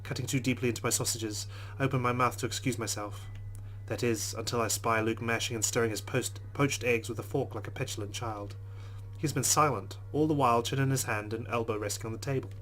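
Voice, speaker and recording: Role: let's play gamer. Pitch: mid. Style: reads game narration aloud with casual commentary. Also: male voice, steady tone, a little fast, a bit bright, rough recording. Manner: casual, joking asides.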